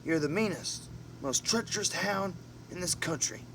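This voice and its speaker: A man's voice: high-pitched, animated, moderate pace, voice pushed up. A man voicing a menacing robber who speaks in harsh accusations in an amateur audiobook story. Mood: angry menace. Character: menacing, accusing male robber gang member. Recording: noisy recording, distant mic, vehicle-like hum.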